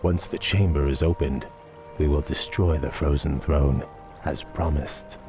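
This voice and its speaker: Aristocratic elf prince for a fantasy game: extremely deep, measured, vengeful, full of disdain. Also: male voice, fairly even intonation, music in the background. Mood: formal resolve. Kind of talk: fantasy video game cutscene acting.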